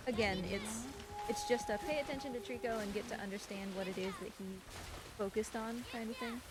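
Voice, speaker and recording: A woman's voice: on the high side, fairly even intonation, brisk. A woman giving live gameplay commentary as a speedrunner, with playful, coaxing asides to a game character. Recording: clear background game noise.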